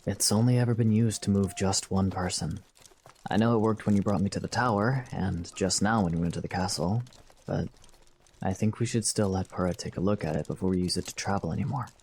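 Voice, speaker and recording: Deep-voiced, gentle young knight, acted by a man. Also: brisk, husky. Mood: cautious. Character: young knight, gentle, earnest, quietly thoughtful. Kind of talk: audio drama dialogue.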